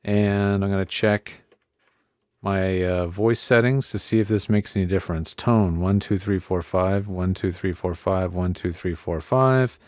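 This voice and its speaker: A man's voice: low-pitched, unhurried, a little mellow, no room sound. A man presenting a casual hands-on audio gear tutorial, thinking aloud in a conversational way on a close studio microphone. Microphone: close studio podcast microphone.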